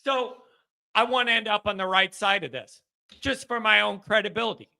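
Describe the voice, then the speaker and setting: A man hosting a call-in sports show from his basement, talking in an animated, rambling way and mocking himself now and then. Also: high voice, normal speed, vocal fry. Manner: animated, rambling, self-deprecating. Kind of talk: casual call-in chat. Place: home basement.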